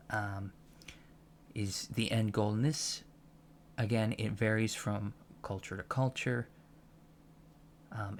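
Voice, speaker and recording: A soft-spoken man in an ASMR roleplay as a professor, explaining things haltingly with pedantic asides. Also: on the low side, a little hiss or hum. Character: patient alien professor lecturing on humans, fascinated by them. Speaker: ASMR roleplay performer playing an alien college lecturer.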